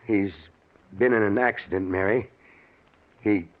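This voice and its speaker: An actor playing a stern, rational middle-aged doctor and protective husband, with commanding, dramatic old-time radio delivery. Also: male voice, lowish voice, steady tone, measured pace, phone-quality sound, lightly acted. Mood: shaken, grave. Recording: thin, band-limited old radio recording.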